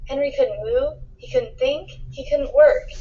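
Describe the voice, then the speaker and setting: A woman reading a children's picture book aloud in an expressive storytelling style, acting out the dialogue with emphasis. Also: high voice, unhurried, highs cut off. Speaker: storybook reader.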